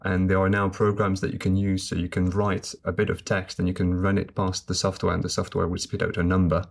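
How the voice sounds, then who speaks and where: Low-voiced man. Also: completely flat delivery, creaky. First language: French. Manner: measured, explanatory. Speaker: guest translator. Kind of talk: conversational podcast interview.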